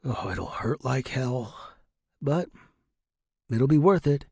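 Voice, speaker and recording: Man, fiction narrator, unhurried, rough-edged husky voice, intimate, dramatic, hushed, measured. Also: medium pitch, big pitch swings, dry recording, clean studio sound.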